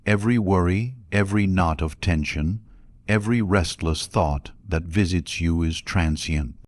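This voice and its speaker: Male meditation guide, deep register, unhurried and soothing scripted delivery for sleep narration. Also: no echo, studio quality. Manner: measured, instructional, soothing delivery.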